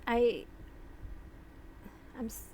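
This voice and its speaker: A woman vlogger talking casually and slowly, rambling with pauses and restarts. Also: mellow, slight background noise, poor audio. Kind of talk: casual talk to camera.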